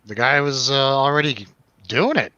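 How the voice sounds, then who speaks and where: A man with a bright, forward voice talks casually about films among friends. He is informal and prone to exclaiming and restarting. The sound is dry and a little dull. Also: medium pitch, normal speed, no echo. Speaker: film review show host. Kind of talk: casual film discussion with friends.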